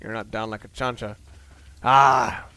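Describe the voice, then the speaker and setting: A man's voice: medium pitch, fairly even intonation, measured pace, bright, forceful tone. A man giving casual, unscripted video game commentary in a rambling, sweary, slangy way. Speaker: let's play commentator. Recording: clear background noise.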